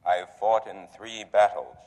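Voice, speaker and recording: Robot veteran in vintage sci-fi radio drama. Lowish voice, obedient yet cold, long reverb. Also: male voice, unhurried, darkish tone. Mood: cold, defiant. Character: flat, obedient male robot war veteran, quietly defiant. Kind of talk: 1950s radio drama acting.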